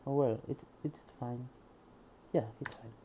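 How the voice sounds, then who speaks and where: A man's voice: mid-range voice, fairly even intonation, a little slow, noisy background. A man with a Spanish accent reads a programming book aloud and mutters to himself as he tries things out. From Spain. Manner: reads passages aloud, then muses quietly to himself. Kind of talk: casual screen-recorded reading and coding.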